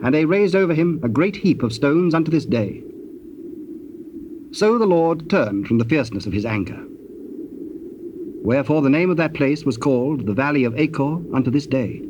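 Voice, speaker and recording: A man narrating scripture in a solemn, measured and formal manner. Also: on the high side, animated, natural voice. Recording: dull, noisy old cassette tape.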